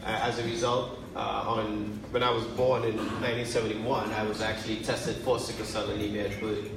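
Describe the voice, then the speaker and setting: A man in his forties from Trinidad and Tobago giving a measured keynote speech as a university president at a luncheon. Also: lowish voice, level tone. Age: 40s. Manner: measured, deliberate, with frequent fillers. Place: large banquet hall. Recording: distant mic in a very echoey hall, noisy.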